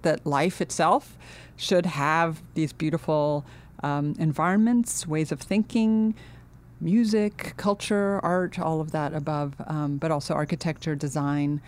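A middle-aged woman from Finland, a guest in an interview, speaking earnestly and thoughtfully with many pauses and restarts. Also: low voice, steady tone, a little mellow. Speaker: guest, architecture dean. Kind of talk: casual interview talk.

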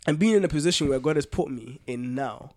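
A man co-hosting a casual podcast, thinking aloud in a reflective, halting way with informal slang. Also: on the high side, a little mellow. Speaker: podcast co-host. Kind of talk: casual conversational podcast chat.

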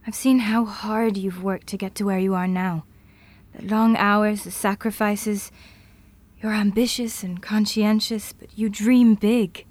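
A woman playing a self-assured, brisk professional futurist in an audio drama, speaking matter-of-factly. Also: medium pitch, steady tone, a little mellow, close to an everyday voice. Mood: sincere, careful.

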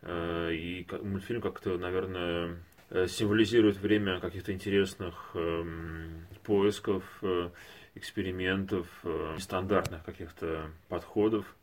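Male panelist, very low register, analytical and intellectual, measured delivery with halting phrasing. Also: steady tone. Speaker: podcast co-host or panelist. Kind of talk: unscripted analytical discussion.

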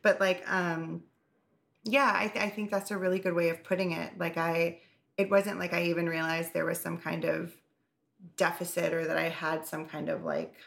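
A woman with a low, gravelly voice and a touch of vocal fry co-hosts a podcast. She rambles candidly, full of "like"s and cheerful swearing, in an easy conversational flow. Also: moderate pace. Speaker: podcast co-host. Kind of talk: casual podcast chat.

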